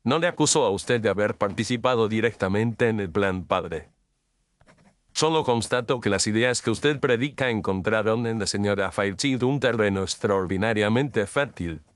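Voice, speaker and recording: A gentleman detective in an audio story, acted by a man, with a lowish voice in a dry, close recording. He is composed and formal, setting out his reasoning with deliberate, cool precision. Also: no room sound, close to an everyday voice. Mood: cool, analytical. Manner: formal, deliberate, coolly accusing. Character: cool, incisive, measured male gentleman detective. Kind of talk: dramatized audio story acting.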